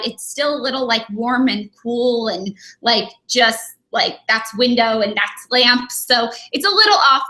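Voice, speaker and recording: A woman's voice: a little high, bright, forceful tone. A young woman chatting casually on a live vlog from her dining room, rambling with lots of fillers and a little sniffly from a cold. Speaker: beauty vlogger. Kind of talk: casual live-stream chat.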